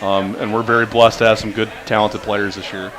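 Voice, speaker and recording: A man coaching high school basketball speaks as a radio guest in an earnest, upbeat way. His voice sits a little low. A busy bar crowd chatters clearly behind him. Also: flat delivery, a little fast, low-quality recording.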